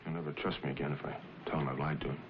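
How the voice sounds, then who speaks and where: A middle-aged American businessman father, stern and burdened, in an old television drama. His voice is very low and he talks quickly. The soundtrack is muffled, with music underneath. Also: little pitch movement, slight background noise. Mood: troubled. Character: troubled, stern, successful businessman father hiding a secret. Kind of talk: 1950s television drama acting.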